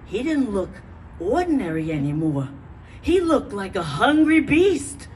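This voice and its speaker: A woman's voice: mid-range voice, sing-song, darkish tone. An actress playing a woman fondly recalling an intimate awakening, telling it as a dramatic, savouring monologue at a staged reading. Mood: savouring, amused. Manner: dramatic, savouring storyteller with deliberate pauses. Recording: distant mic, noisy live venue.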